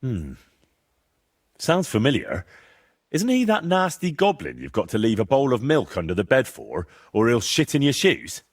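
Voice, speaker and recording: An actor playing a plain-spoken, sceptical young medieval adventurer in a video game, with a down-to-earth, conversational manner. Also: male voice, medium pitch, huge pitch swings, normal speed. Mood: sceptical, wary. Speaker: protagonist of a game. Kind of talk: video game cutscene dialogue.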